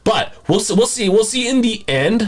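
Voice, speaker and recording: A man's voice: high-pitched, animated, moderate pace. A man chatting casually as a podcast co-host, rambling a bit and rephrasing himself as he goes. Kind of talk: casual chat.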